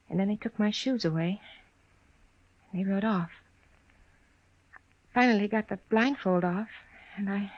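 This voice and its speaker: A woman playing a weary, gentle, plain-spoken frontier wife with halting, careful speech in a western radio drama. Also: mid-range voice, no room sound, natural voice. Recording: dry, slightly dull old radio recording.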